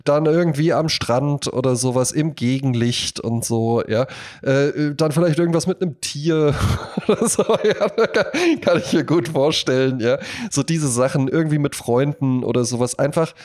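A man's voice: on the high side, moderate pace, a little mellow. Casually chatting man, rambling storyteller. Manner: rambling, anecdotal, acts out little imagined quotes. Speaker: podcast co-host. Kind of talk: casual conversational podcast chat.

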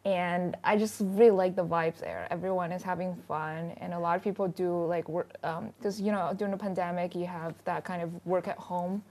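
A woman's voice: lowish voice, fairly even intonation, moderate pace, hardly any pauses, darkish tone. A woman reporter chatting as a panel guest in an upbeat, talkative way. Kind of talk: casual panel chat.

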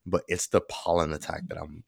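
A man's voice: extremely low pitch, flat delivery, fairly quick, creaky, no room sound, studio quality. A man co-hosting a podcast in casual chat, stuffed up and nasal from allergies. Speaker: podcast co-host.

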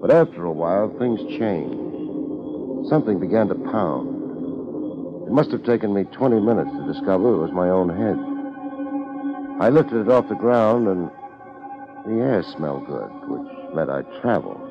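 An actor playing a wry, deadpan private detective with an American accent, in a 1950s radio drama. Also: male voice, on the low side, measured pace, pauses often, music in the background. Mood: wry narration. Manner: deadpan, wisecracking. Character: wry, laid-back, hardboiled private detective narrating his case. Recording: dull 1950s radio recording.

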